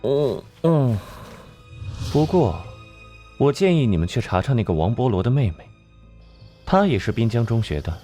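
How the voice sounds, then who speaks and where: A man voicing a sleepy, flippant young occult expert with a drawling, nonchalant delivery in an animated crime drama. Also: medium pitch, moderate pace, long pauses, soft background music, close to an everyday voice. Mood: sardonic, teasing.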